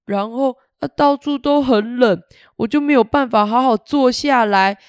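A woman voicing a mild-mannered male big bad wolf in a children's story, slow, sheepish and plaintive. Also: a little high, clear, resonant tone, no echo, clean studio sound, voice pushed up, close to an everyday voice.

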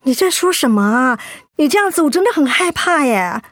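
An actress playing an anxious, hot-tempered young office worker in a Mandarin radio drama, blunt and impatient, with a Taiwanese accent. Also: female voice, high voice, lively intonation, brisk, no room sound, clean studio sound. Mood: alarmed. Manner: blunt, impatient, emphatic questioning.

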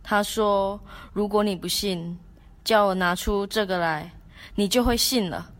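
A woman's voice: mid-range voice, measured pace, lightly acted. A woman playing a devoted young man in love in an amateur radio drama, earnest and declamatory, with a Taiwanese Mandarin accent. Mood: respectful. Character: devoted, heartbroken young male lover. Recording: background music under the voice.

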